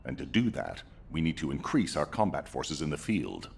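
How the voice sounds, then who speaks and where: An actor playing an authoritative, businesslike commander in a video game, giving decisive, commanding delivery. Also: male voice, extremely low pitch, huge pitch swings, gravelly, a little hiss or hum, exaggerated voice. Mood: urgent, commanding. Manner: commanding, brisk.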